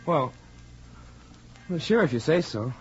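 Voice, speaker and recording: A man's voice: fairly high pitch, lively intonation, measured pace, slightly rough, soft background music, heavily acted. An actor playing an eager, earnest young male college athlete, boyish and casual, in a 1940s radio drama. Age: young adult. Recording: dull 1940s radio recording.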